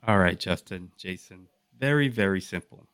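A man hosting a casual podcast, explaining and asking questions in deliberate, emphatic phrases. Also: deep voice, animated, fairly bright tone, smooth, dry recording. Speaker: podcast host.

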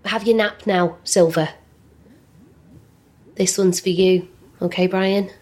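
A woman's voice: medium pitch, fairly even intonation, darkish tone. An actress playing a harried carer who soothes but brushes off the old woman in her care. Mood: dismissive. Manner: brisk, soothing yet dismissive. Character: harried adult daughter and carer, busy and distracted.

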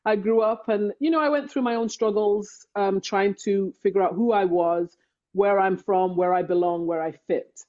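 English woman speaking slowly, mellow tone. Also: mid-range voice, steady tone, highs cut off. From England. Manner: slow, thoughtful, uses metaphors. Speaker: podcast guest. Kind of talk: reflective conversation.

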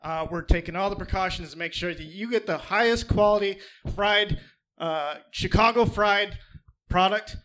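A shifty small-time fried-food huckster, played by a man with a fairly high voice, stumbling through evasive sales talk full of fillers. He dodges questions and pushes his product with eager patter, as in an improvised comedy podcast. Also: normal speed, fairly bright tone. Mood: salesy. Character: shifty fast-food owner hawking dubious fried pigeon. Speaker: guest pitching a restaurant.

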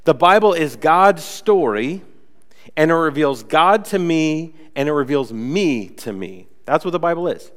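Chatty young pastor, slightly nasal, reverberant hall. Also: male voice, a little high, animated, unhurried, a bit bright. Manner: chatty, conversational, many "like" fillers and asides. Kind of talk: casual sermon. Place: church sanctuary.

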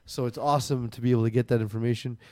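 A man's voice: medium pitch, steady tone, racing, dark tone, no echo. Rambling young man in dry room. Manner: rambling, filler-heavy, joking. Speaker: sports podcast co-host. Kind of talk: casual sports chat.

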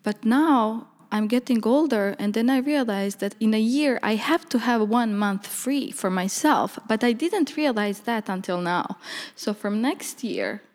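A woman's voice: fairly high pitch, lively intonation, a little slow, a little mellow, long reverb tail. A young woman from Bosnia, an artist, talking candidly and reflectively in an interview, often laughing. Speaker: artist guest. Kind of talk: conversational interview.

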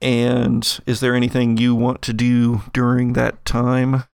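A man narrating as game master, improvising casually in halting, informal descriptions. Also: medium pitch, completely flat delivery, gravelly, a little mellow, clear, resonant tone, dry recording, clean studio sound.

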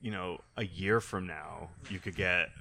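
Guy hosting a relaxed chat interview, lowish voice but bright and punchy. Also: unhurried, vocal fry. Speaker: interview host. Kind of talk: casual conversational interviewing.

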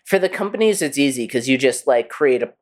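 Blunt man with lively pitch, chiming in. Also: fairly quick. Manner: blunt, agreeing with short interjections. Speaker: podcast co-host. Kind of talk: casual chat.

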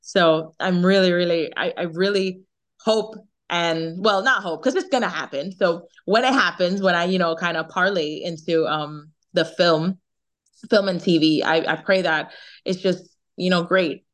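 A young Jamaican woman chatting in a remote interview, talkative and quick to laugh, often repeating her words. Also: on the low side. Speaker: singer, interview guest. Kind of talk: casual interview chat.

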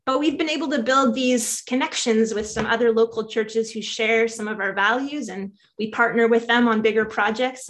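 A woman pastor telling her own faith story to a church gathering, heartfelt and reflective. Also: fairly high pitch.

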